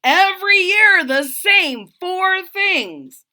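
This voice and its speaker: A woman's voice: very high-pitched, big pitch swings, extremely slow, sharp tone, voice pushed up, heavily acted. A woman voicing a grumpy, proud old king in a storybook, growling and imperious. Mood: bellowing, fed up.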